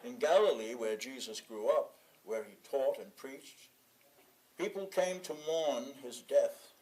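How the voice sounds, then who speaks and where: A man reading a sermon from a prepared text in a measured, deliberate way, leaning on key phrases and pausing between them. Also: mid-range voice, lively intonation, a little mellow, rough recording. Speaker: sermon reader in a church congregation.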